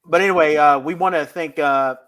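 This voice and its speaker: A man's voice: on the high side, a little fast, a bit bright. A man hosting a podcast in a casual, conversational style. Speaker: podcast host.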